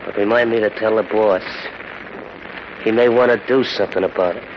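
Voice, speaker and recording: An actor playing a sullen, slangy gangster thug plotting from the shadows in a 1940s radio drama. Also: male voice, lowish voice, steady tone, fairly quick, natural voice. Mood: sullen, suspicious. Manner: tough underworld slang. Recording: noisy muffled old radio recording.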